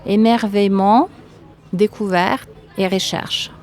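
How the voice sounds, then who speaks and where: A woman from Italy speaking with an Italian accent, answering interview questions in a hesitant, thoughtful way with many pauses and fillers. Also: medium pitch, steady tone, a little mellow. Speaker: guest.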